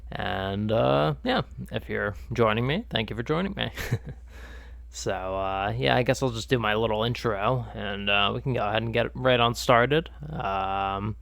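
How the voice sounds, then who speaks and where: A man's voice: fairly low pitch, vocal fry, fairly bright tone, no echo. A man streaming a video game, chatting casually and rambling with lots of fillers, stuffed up and nasal from a cold. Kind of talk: casual gaming stream chat.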